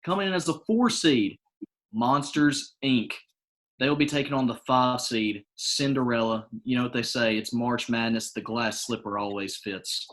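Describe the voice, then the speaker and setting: A man's voice: mid-range voice, normal speed, a bit bright. Man announcing seedings like a sports correspondent. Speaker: bracket correspondent on a mock sports show. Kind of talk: sports-style analysis, reading out seedings.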